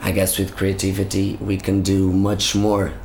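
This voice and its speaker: A Portuguese-accented man, a musician speaking English as a second language in an interview, earnest and opinionated, pausing to find his words. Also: sits low, completely flat delivery, darkish tone, a little hiss or hum, poor audio. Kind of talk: interview answers.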